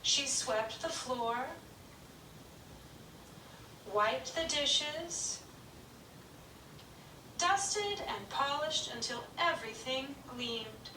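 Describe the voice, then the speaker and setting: A woman narrating a children's puppet show story in a slow, gentle, clearly paced reading. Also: high-pitched, animated, background noise. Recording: echoey room, distant mic.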